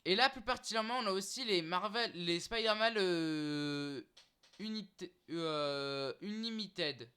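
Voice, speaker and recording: A young man with a high, bright voice chats loosely to camera, stumbling through restarts and filler sounds as he goes. His tone is clean and smooth, and he keeps turning to his viewers as "guys." The talk is unscripted. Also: a little slow. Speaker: YouTuber listing streaming titles. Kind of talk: casual unscripted vlog talk.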